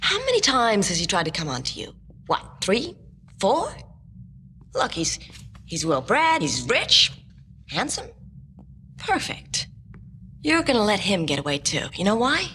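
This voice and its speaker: A woman's voice: mid-range voice, wildly swooping pitch, unhurried, pauses often, a bit bright. An actress playing a brash, blunt young alien woman in a science fiction TV drama, speaking in a taunting, pointed way. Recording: noisy TV episode clip with ambience.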